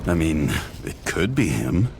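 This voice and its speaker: Low-voiced, dry detective. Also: male voice, sing-song, unhurried, vocal fry, heavily acted. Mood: grim, blunt. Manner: dry, laconic, teasing. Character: wry, stubborn male police detective, world-weary. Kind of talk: video game dialogue acting. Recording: loud vehicle and ambience noise.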